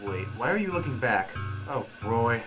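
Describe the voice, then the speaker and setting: A man's voice: medium pitch, sing-song, moderate pace, music in the background, lightly acted, rough recording. A man playing a nagging, sarcastic, incredulous sidekick to a reckless companion in a comic radio play.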